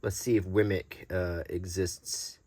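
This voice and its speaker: A man hosting an unscripted, ASMR-like show-and-tell in a halting, casual way. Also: low voice, flat delivery, warm tone, slight background noise. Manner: halting, unscripted, hesitant delivery. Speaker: host.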